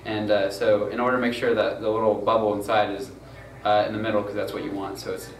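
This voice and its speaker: A young man walks through a gear setup in a relaxed, filler-heavy way. He is picked up from a distance in an echoey room. Steady background noise sits under his voice. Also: lowish voice, fast talker. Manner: loose and informal, many fillers and "gonna go ahead". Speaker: student crew tutorial presenter. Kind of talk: casual how-to demonstration. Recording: roomy, far from mic, noisy.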